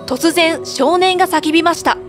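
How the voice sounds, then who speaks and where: A woman's voice: very high voice, moderate pace, music in the background. A woman narrating a scripted children's fairy tale as a storyteller.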